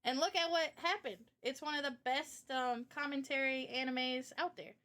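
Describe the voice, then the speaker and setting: A woman streamer hosting a casual live chat, talking in an animated, opinionated way with rambling asides. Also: high-pitched, normal speed. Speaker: streamer and host.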